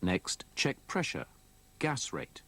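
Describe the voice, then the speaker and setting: A man reads scripted instructional narration in a measured, methodical, explanatory way, copied from an old VHS tape. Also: deep voice, wildly swooping pitch, gravelly, a bit bright. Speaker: training film narrator.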